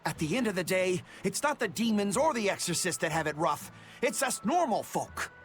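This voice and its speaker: An actor playing an excitable, loyal young sailor in a pirate crew, speaking in a folksy, exclamatory way for a video game. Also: male voice, high voice, normal speed, soft background music. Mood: grumbling.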